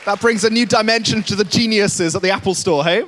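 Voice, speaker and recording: A man's voice: high-pitched, fairly quick, a bit bright, a faint crowd, a little hiss or hum. A man hosting a church baptism service, introducing each person with enthusiastic encouragement. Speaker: pastor leading baptisms.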